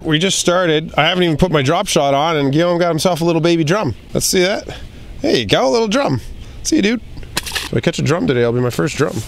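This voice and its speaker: A man's voice: a little high, animated, quick pace, soft background music. A man in his thirties hosting a fishing vlog with casual, playful, exclamatory commentary, recorded outdoors on a small boat.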